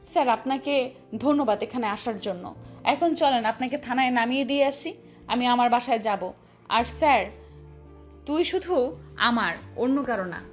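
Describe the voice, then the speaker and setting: A woman acts out a very high-pitched young woman in a romantic audio drama, bold and possessive. She is defiant and insistent about the younger man she pursues, with faint music underneath. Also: moderate pace, long pauses, lightly acted. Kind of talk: Bengali audio love-story drama.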